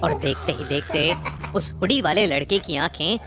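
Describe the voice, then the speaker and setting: A woman narrating a scripted horror story in a measured, suspenseful way, phrase by phrase. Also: low-pitched, a bit bright. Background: prominent music bed.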